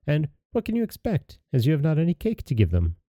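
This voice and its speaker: Yellow Dwarf, a squeaky scheming dwarf, put on by a man. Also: huge pitch swings, fast, no echo, studio quality. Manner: disdainful, high squeaky voice. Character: small ugly yellow dwarf, haughty and scheming.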